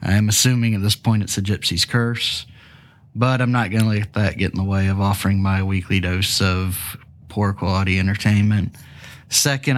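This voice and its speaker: Stuffy-voiced man hosting a podcast. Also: on the low side. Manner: jokey, casual asides. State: stuffy from a cold. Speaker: podcast host. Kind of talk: true crime podcast narration.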